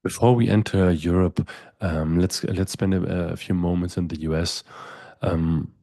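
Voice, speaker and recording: A man's voice: low-pitched, steady tone, unhurried, dark tone, dry recording, studio quality. A middle-aged man hosting a podcast, asking long, winding interview questions with frequent restarts. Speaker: podcast host.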